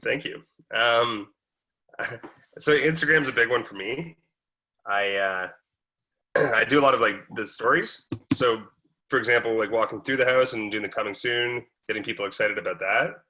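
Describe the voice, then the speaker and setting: A man's voice: medium pitch, normal speed, bright, forceful tone, poor audio. A man, a real estate agent chatting casually on a call, full of likes and ums. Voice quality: raspy.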